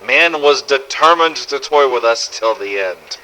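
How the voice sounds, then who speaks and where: A man's voice: medium pitch, animated, a little slow, sharp tone, slight background noise, rough recording. A man narrating a scripted first-person survival story in character, with a dramatic, gritty delivery and some swearing. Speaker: narrator of fan-made game audio logs.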